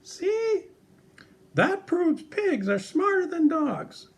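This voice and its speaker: A man's voice: very high-pitched, very animated, very slow, voice pushed up, exaggerated voice. A man voicing a vain, easily offended operatic pig puppet in a children's puppet show, with a melodramatic manner. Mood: smug, huffy. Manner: melodramatic, self-pitying.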